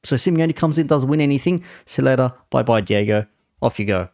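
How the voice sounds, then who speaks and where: A man's voice: medium pitch, animated, brisk, a little mellow, no room sound, studio quality. A man commentating on football off the cuff as a host, with a sarcastic, repetitive and rhetorical way of making his points. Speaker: host giving football opinion.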